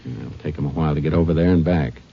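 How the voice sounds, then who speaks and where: A man in a 1950s radio drama who narrates and plays a steady, terse lawman, a ranger giving orders. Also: extremely low pitch, racing, husky, soft background music, heavily acted. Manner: terse, level and commanding. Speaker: narrator and lead. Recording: dull lo-fi old radio broadcast.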